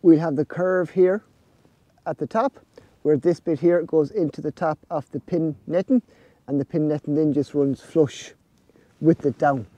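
A man's voice: on the high side, unhurried, dark tone, no echo. A man in his forties with an Irish accent, casually explaining farm jobs outdoors in a vlog. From Ireland. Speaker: snail farmer presenting his farm vlog. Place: outdoors under netting on a farm.

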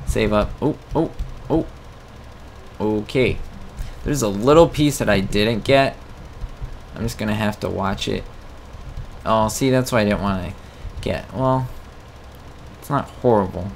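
A man's voice: lowish voice, unhurried, creaky, pauses often, a little hiss or hum. A man giving casual, self-mocking commentary while playing a farming game, chatting to his viewers. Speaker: gameplay commentator. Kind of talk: casual let's-play commentary.

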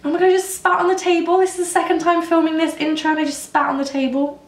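A young woman in her twenties, losing her voice and croaky, chatting casually and self-mockingly through a makeup tutorial. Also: very high voice, level tone, fast, roomy sound, distant mic. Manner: chatty, self-mocking, rambling with many ums. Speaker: beauty vlogger. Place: indoors, plain grey backdrop.

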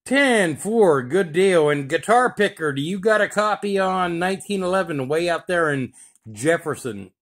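A man's voice: high voice, expressive, normal speed, fairly bright tone. A man chatting casually in CB lingo as a radio operator.

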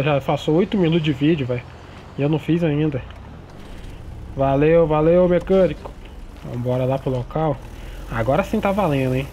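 A man's voice: fairly high pitch, warm tone, a little hiss or hum. A man from Brazil streaming a roleplay game, chatting in laid-back slang and talking to his viewers. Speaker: gaming streamer. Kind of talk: roleplay gameplay chat.

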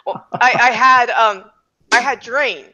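Bright-voiced woman hosting, slightly dull call audio. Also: fairly high pitch, unhurried. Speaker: podcast host. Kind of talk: casual group chat. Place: small room at home, video call. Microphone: headset.

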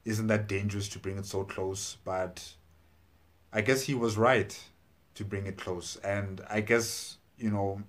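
A man in his thirties giving casual, hesitant reaction commentary, musing as he goes. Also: low-pitched. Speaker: reaction video host. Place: small plain room indoors. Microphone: clip-on lapel mic.

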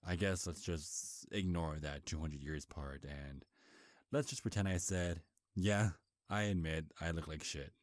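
A man playing a charming, sly male vampire in an intimate ASMR roleplay, soft-spoken, flirtatious and hesitant, with deliberate delivery. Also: a bass voice, dry recording, studio quality. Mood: coy, awkward. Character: charming, sly male vampire, centuries old, courting prey. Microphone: close, dry microphone.

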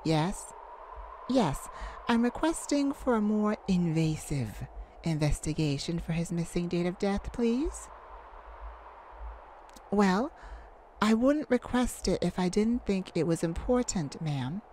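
Woman acting as celestial caseworker, unhurried, medium pitch, polite, apologetic, flustered, ASMR roleplay, noisy ambience behind. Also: lively intonation, dark tone. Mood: businesslike. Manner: courteous, apologetic, hesitant. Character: polite, flustered, thousands-year-old celestial afterlife caseworker.

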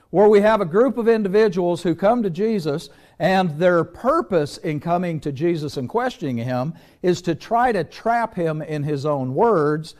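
A middle-aged preacher with a fairly high voice, talking to his congregation in an easy, conversational way and stacking up rhetorical questions. He is recorded on a small mic in a small church sanctuary. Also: a little mellow. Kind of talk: sermon.

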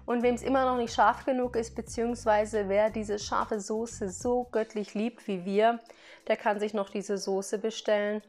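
A woman's voice: a little high, steady tone, a little slow, darkish tone, faint music behind. A woman talking casually to her viewers in a product haul vlog, chatty, laughing now and then between remarks as she explains each item. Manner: explains items one by one to viewers. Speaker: vlogger.

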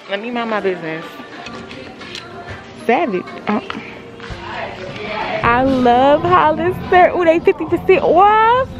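Blunt young woman vlogging with loud music behind. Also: fairly high pitch. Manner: blunt, profane, talks to viewers as y'all. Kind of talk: casual vlog chatter. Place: shopping mall, indoors.

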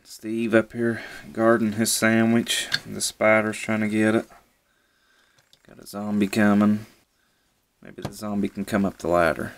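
A man casually reviewing a toy set at home, relaxed and easygoing, often repeating how cool it is. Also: lowish voice, measured pace. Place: indoors at a table.

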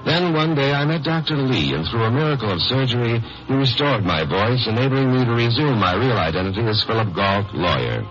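An actor playing a wry, wisecracking lawyer-sleuth in a tongue-in-cheek 1950s radio crime drama. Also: male voice, medium pitch, sing-song, a little fast, hardly any pauses, fairly bright tone. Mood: wry narration. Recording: muffled old radio broadcast, music behind.

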